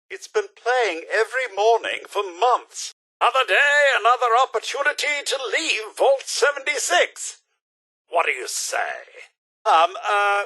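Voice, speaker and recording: A male actor voicing a prim, fussy robot butler for a video game, with a plummy British accent and a theatrical, over-polite manner. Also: heavily acted. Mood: weary, exasperated, then chipper, urging. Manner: plummy, over-polite, exclamatory, highly animated.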